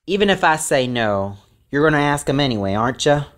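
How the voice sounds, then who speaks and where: A stubborn teenage boy of the Old West, voiced by a woman, pitched very low for a female voice and swinging widely in tone. The delivery is surly and defiant, in amateur western audio drama style. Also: expressive, brisk. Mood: irritated.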